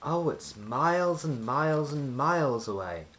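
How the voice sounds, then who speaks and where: A man's voice: medium pitch, big pitch swings, a little slow, fairly bright tone. A man voicing a timid, puzzled male storybook character with a plaintive, sneezy way of talking.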